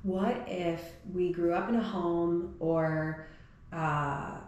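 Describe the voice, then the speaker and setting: A woman's voice: lowish voice, steady tone, slow, darkish tone, dull audio. A woman co-hosting a podcast, talking in a thoughtful, musing way and often asking rhetorical questions. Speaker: podcast co-host. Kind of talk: reflective conversational chat. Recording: very echoey room with faint noise.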